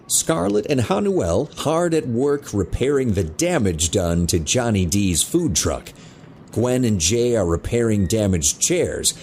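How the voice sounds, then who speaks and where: A man narrates a scripted story with lively intonation. His voice sits fairly low and has a lot of creak. There is faint ambience behind him. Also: slight background noise.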